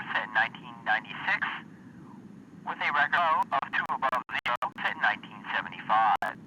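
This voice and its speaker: Synthetic male voice, steady mechanical reading, very bright tone, faint hiss. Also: medium pitch, low-quality recording. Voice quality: synthesized computer voice. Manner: steady, mechanical delivery of figures. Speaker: weather radio announcer. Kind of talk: automated climate report reading.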